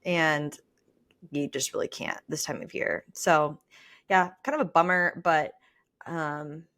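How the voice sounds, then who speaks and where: A woman's voice: sits low, steady tone, slow pace, fairly bright tone. A young adult woman talking casually and conversationally as a podcast co-host.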